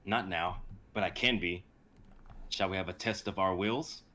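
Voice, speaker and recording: A man playing a calm, resolute, self-sacrificing young warrior in a dramatic script reading. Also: low voice, unhurried, a little hiss or hum. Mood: firm, challenging.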